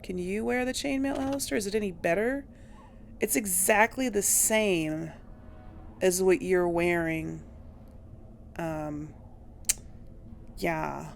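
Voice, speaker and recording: A woman gives casual game commentary at an unhurried pace, musing to herself as she goes. Her voice has a frequent creaky edge, and there is some noise behind her. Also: fairly low pitch. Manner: thinking aloud, musing to herself. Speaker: host of a game playthrough series.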